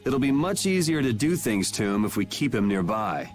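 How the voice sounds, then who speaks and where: A man's voice: lowish voice, very animated, normal speed, faint music behind. A man playing a cool, wry young swordsman in a video game, dry and teasing. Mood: dry, sly. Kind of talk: video game dub acting.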